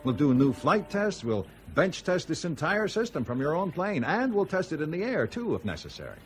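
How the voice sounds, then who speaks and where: An actor playing a smooth, insistent male company executive in a radio drama, courteous and deliberate in his phrasing. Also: on the high side, very animated, a little hiss or hum. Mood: persuasive.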